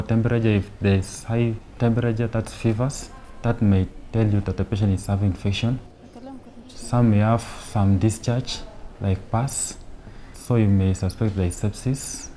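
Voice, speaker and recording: Calm low-voiced male nurse explaining. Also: slight background noise. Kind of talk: explaining nursing care.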